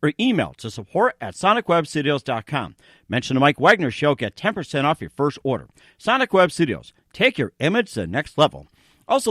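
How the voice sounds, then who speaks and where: A man hosting an interview show, speaking in a halting, rambling way as he does promos and questions. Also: on the high side, fairly bright tone, no room sound, clean studio sound. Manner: halting, rambling, filler-heavy. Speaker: radio show host and interviewer.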